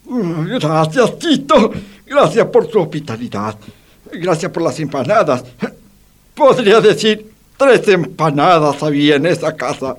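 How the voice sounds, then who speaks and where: An actor playing a distraught, anguished psychiatrist in a comic radio play, with an Argentine accent and melodramatic delivery. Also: male voice, on the high side, big pitch swings, measured pace. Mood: grateful, solemn. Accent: Argentine, Rioplatense. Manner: melodramatic, wailing exclamations.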